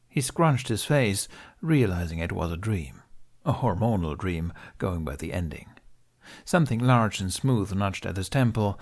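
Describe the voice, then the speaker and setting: A man narrating an audiobook, reading scripted fiction as the storyteller. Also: low-pitched.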